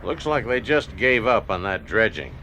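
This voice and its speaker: A man's voice: on the low side, big pitch swings, normal speed, pushed and bright, a little hiss or hum, poor audio. An actor playing a pedantic, good-humoured American father in a radio drama, explaining things like a guidebook. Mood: matter-of-fact. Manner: didactic, guidebook-like.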